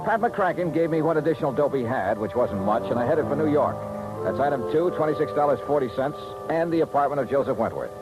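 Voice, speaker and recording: An actor playing a hardboiled American insurance investigator in a 1950s radio drama, clipped and matter-of-fact. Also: male voice, on the high side, lively intonation, fast talker, lo-fi sound, close to an everyday voice. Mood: matter-of-fact narration. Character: hardboiled, wry freelance insurance investigator, adult man. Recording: vintage radio recording with loud music.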